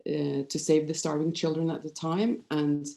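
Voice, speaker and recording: Casting: woman, fifties, Norwegian accent, low-pitched relaxed voice, psychologist presenting personal material haltingly and candidly. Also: vocal fry. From Norway. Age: 50s. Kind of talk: informal conference presentation with personal storytelling.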